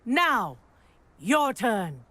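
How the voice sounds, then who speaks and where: A woman acting a strict, brisk broom flying teacher in a fantasy video game, precise and commanding. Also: fairly high pitch, big pitch swings, bright, forceful tone, slight background noise, full character voice.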